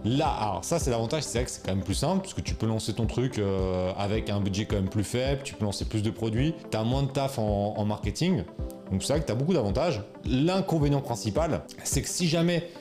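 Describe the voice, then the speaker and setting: A man's voice: mid-range voice, expressive, brisk, music in the background. Casual, slangy man. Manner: informal, slangy, many fillers and restarts. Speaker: e-commerce coach. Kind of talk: casual explainer video.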